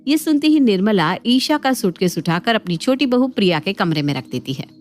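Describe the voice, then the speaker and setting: A woman's voice: medium pitch, very animated, brisk. A woman narrating a scripted cartoon family story in a dramatic storytelling manner. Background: faint background music.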